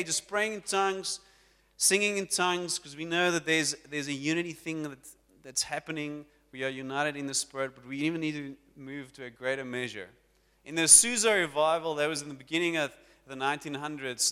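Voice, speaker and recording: A man preaching to his congregation as pastor, lively and talking directly to the people in front of him. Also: on the high side, clean tone. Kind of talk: sermon. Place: church hall. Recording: long church hall reverb.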